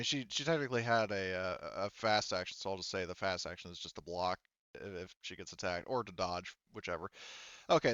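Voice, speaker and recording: A man's voice: fairly low pitch, pushed and bright, smooth, dry recording. A man running a tabletop roleplaying game, casually narrating and making rulings as he thinks aloud, on a slightly dull microphone. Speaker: game master.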